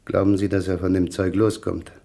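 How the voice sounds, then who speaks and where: An actor playing a lanky, cagey crook in a noir radio drama, with deadpan, clipped and probing talk. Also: male voice, sits low, a little fast, creaky. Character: tall skinny long-nosed male crook, cagey and expressionless.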